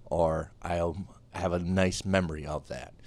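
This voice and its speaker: A man with a very low voice hosts a relaxed interview. He thinks aloud in short, reflective phrases and affirms what his guest says. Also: unhurried, a little hiss or hum. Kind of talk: casual interview chat.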